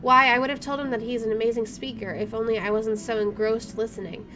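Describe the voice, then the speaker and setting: A woman's voice: fairly high pitch, fairly even intonation, quick pace. A woman hosting a let's play, reading story narration aloud and adding casual asides. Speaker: gaming video host. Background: game music.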